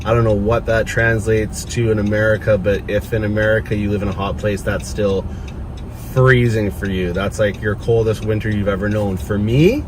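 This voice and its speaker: Slangy man vlogging over loud truck noise. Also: fairly low pitch, fairly even intonation, moderate pace. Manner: slangy, laid-back hype, rambling. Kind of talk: casual vlog chat to camera. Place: inside a truck being driven. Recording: loud vehicle and air-conditioning noise. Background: truck engine and road noise.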